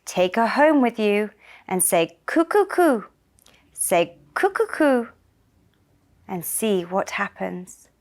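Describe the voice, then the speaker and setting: A woman's voice: mid-range voice, very animated, takes it slowly, long pauses. A woman voicing a helpful old man of the forest in a children's puppet show, giving simple instructions.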